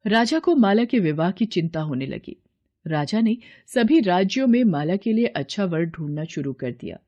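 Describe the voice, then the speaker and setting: A woman narrates a children's story from a script. Her voice sits at an average pitch, with the delivery of a fairy-tale narrator. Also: animated, normal speed, mellow, muffled recording.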